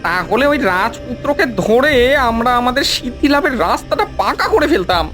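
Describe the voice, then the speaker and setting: Woman voicing an animated sly villain's accomplice. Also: on the high side, a little fast. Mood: baffled, eager. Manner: animated, questioning. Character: sly villain's accomplice, eager yet dim follower. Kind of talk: dramatic cartoon voice acting. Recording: music behind the voice. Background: music.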